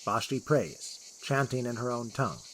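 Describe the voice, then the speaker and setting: A man's voice: mid-range voice, animated, moderate pace, background noise. A man narrating a scripted fantasy adventure story.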